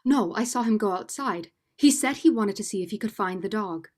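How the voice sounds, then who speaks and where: A woman's voice: mid-range voice, expressive, fairly quick, darkish tone, close to an everyday voice. A student actress playing an anxious, doubtful married woman with earnest melodrama in a vintage radio mystery. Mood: hushed, anxious. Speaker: student actor.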